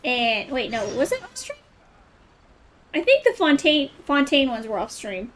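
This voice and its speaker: A woman's voice: high-pitched, big pitch swings, measured pace, fairly bright tone. A woman giving casual game commentary as a streamer, with playful, mock-exasperated asides. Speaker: gameplay streamer.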